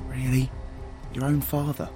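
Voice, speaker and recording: An actor playing an earnest, protective and slightly nerdy rebel in an audio drama, explaining things insistently. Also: male voice, medium pitch, level tone, a little slow, dark tone, lightly acted. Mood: calm, reassuring. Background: music.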